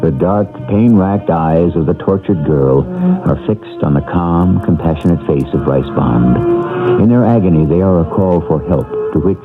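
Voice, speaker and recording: A man's voice: low voice, big pitch swings, a little slow. A man hosting and narrating a radio mystery drama in a grave, theatrical style. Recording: old lo-fi radio broadcast with music.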